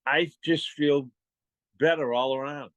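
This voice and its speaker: A man with a Boston accent telling personal stories casually and haltingly as a participant on a video call. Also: on the high side, fairly even intonation, dry recording. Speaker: meeting participant giving a testimonial.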